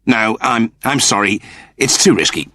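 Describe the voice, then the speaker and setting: A man's voice: mid-range voice, animated, a little slow, bright, forceful tone, no room sound, clean studio sound. A man voicing an irritable, cowardly, sarcastic man in an audiobook story, snippy and impatient. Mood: defensive.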